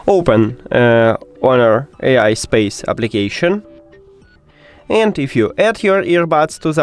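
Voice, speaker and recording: A man narrates a how-to guide in non-native English, occasionally dropping articles. His mid-pitched voice moves up and down in a lively way from step to step. Faint music plays behind him. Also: clean tone. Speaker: tech tutorial presenter.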